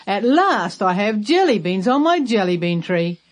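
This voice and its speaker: Storybook grandpa, old, gleeful and excitable, very animated delivery performed by a woman. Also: mid-range voice, normal speed, natural voice. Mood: excited. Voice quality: thundery when singing. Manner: exclamatory, gleeful. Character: jolly excitable old grandpa with a jiggly tummy.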